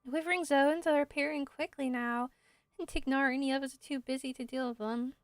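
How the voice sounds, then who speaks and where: A woman's voice: high-pitched, steady tone, brisk, no room sound, studio quality, lightly acted. A woman voicing an earnest, caring young female forest ranger in video game dialogue, polite and encouraging. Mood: friendly, earnest. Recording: music behind the voice.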